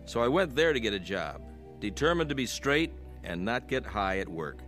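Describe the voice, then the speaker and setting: A male actor playing a reckless, likable young hot-rod stoner in a dramatised radio testimony, slangy and excitable. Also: on the low side, moderate pace, faint music behind. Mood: reflective narration.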